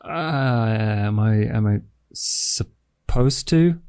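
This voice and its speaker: A man's voice: mid-range voice, drawn-out words, darkish tone, clean tone, no echo. A man playing in a tabletop game, chatting casually in a laconic, hesitant way. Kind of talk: casual, improvised roleplay chat.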